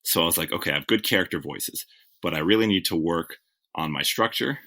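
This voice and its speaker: Man, very low voice, bright forceful tone, chatty storytelling, lots of "like" and "you know". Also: expressive, brisk. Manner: reflective, self-correcting, with frequent "like" and "you know". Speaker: guest, TV writer. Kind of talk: conversational interview storytelling.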